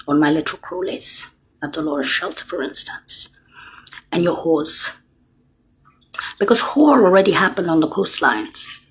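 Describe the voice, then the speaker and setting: An older woman with a very husky, breathy voice that sits low in her register. She rambles on, dropping to near-whispers and then punching words out loud, speaking straight at her listeners in an accusing tone. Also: low-quality recording. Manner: lurches between hushed whispers and loud emphatic bursts; accusatory, addresses listeners directly. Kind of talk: rambling monologue to camera.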